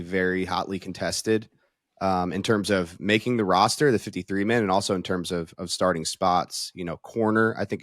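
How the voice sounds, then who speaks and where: A man's voice: low-pitched, dry recording, clean studio sound. An American man giving conversational sports analysis as a guest reporter, explaining methodically point by point.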